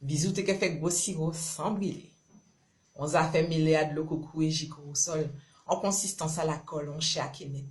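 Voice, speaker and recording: A woman's voice: low voice, fairly even intonation, moderate pace. A woman from Guadeloupe with a Creole accent, performing spoken-word love poetry in short, rhythmic, incantatory phrases. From Marie-Galante, Guadeloupe. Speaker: poet.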